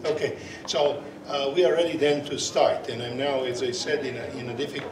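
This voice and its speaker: A man with a Serbian accent, a native Serbian speaker, chairing and opening a conference session, halting with many fillers and restarts, on a portable mic. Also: mid-range voice, steady tone, a crowd in the background. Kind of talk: conference presentation. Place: conference hall. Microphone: portable microphone. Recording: echoey hall, from far off, noisy.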